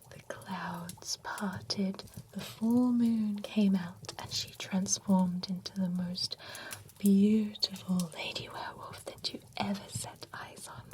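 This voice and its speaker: A woman in an ASMR roleplay, whispering softly as a nosy, genteel female vampire running a spa, chatty and giggly, close to the microphone. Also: medium pitch, a little hiss or hum, natural voice. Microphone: close sensitive ASMR microphone.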